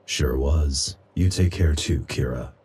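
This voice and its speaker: A very deep-voiced, weary warrior from a video game. He speaks in short, measured phrases with a calm, quietly kind temper. Clear background noise sits behind the voice. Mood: warm, understated.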